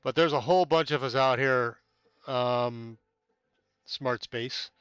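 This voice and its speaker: A man's voice: medium pitch, takes it slowly, a bit bright, clear, resonant tone, no echo, dull audio. A man hosting a casual amateur astronomy live stream from inside a small tent, chatting with viewers, thanking them by name, rambling with many fillers, and a little stuffy-nosed.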